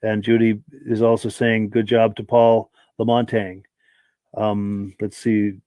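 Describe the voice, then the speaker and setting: A man's voice: on the low side, level tone, a little slow, mellow, no echo. Guy hosting a live podcast, throat going dry, reads out viewers' names one by one, dead dry sound. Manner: friendly, thanks each viewer by name. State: dry throat, losing his voice. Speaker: podcast host reading viewer comments. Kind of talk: live-stream chat.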